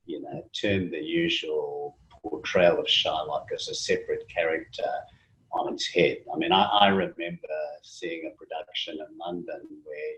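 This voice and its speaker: A man with a very deep voice hosts a conversation over a video call. He talks discursively, with hesitant phrasing, as he sets up points for his guest. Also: a bit bright, muffled recording, slight background noise. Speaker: interviewer.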